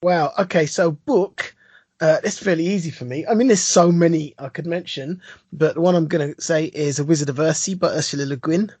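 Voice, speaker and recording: A man co-hosting a podcast, talking in a relaxed, reflective way. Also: on the high side, brisk, husky, dry recording. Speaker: podcast co-host. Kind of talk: casual chat.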